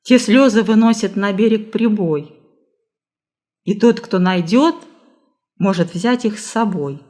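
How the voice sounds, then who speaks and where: A woman reading a verse folk tale aloud in a measured, dramatic storytelling manner. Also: mid-range voice, a little mellow. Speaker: reader, library staff.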